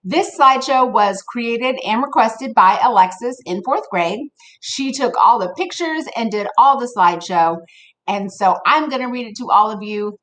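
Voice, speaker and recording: A woman reading a children's story aloud like a teacher, addressing young listeners in an expressive way. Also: fairly high pitch, normal speed, slight background noise, poor audio.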